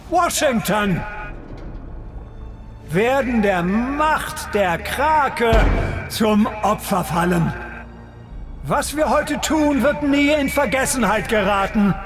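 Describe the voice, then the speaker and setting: A fanatical Nazi villain in a video game, declaiming slowly in a very high, strained pitch. He is grandiose and menacing, pushing toward shouts, with music clearly underneath. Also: exaggerated voice. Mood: fanatical fury. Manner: declamatory, deliberate, shouting at peaks. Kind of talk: dramatic military rally oratory in a video game. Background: dramatic music.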